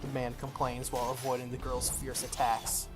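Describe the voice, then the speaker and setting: A gaming host narrates a visual novel aloud in a medium-pitched voice. The reading gives way to enthusiastic, gushing commentary. Game music plays behind the voice. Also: monotone, normal speed. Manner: enthusiastic, gushing asides between read narration.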